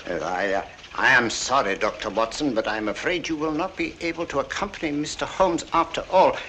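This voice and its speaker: An actor playing a deferential, apologetic gentleman official, formal and hesitant in 1940s film style. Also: medium pitch, brisk. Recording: noisy 1940s film soundtrack.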